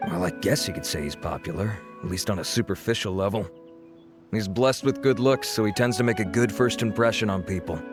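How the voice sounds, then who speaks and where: A man voicing a frank, dry-humored game researcher with a fairly low voice. He talks wryly, with music clearly playing behind him. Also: close to an everyday voice. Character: dry, wry male researcher, frankly gossiping about colleagues. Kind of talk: scripted video game dialogue.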